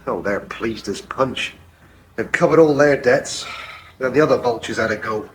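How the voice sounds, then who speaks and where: An actor playing a proud, bitter bankrupt farmer with a regional English accent in a 1980s radio soap. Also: male voice, medium pitch, normal speed, lightly acted. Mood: bitter, dejected. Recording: faintly noisy old radio broadcast.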